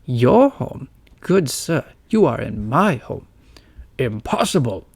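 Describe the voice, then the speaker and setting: A man playing an eccentric, pompous old rich man who talks slowly in an old-fashioned, theatrical way. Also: medium pitch, big pitch swings, vocal fry, breathy edge, no room sound, exaggerated voice.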